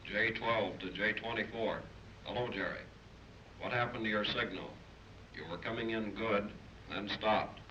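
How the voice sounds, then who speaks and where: A businesslike radio operator, with a fairly low, tense-edged voice. He comes through as a distant transmission. The vintage recording is dull. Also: steady tone, normal speed, pushed and bright. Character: businesslike amateur radio operator calling back. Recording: muffled, noisy 1930s radio recording.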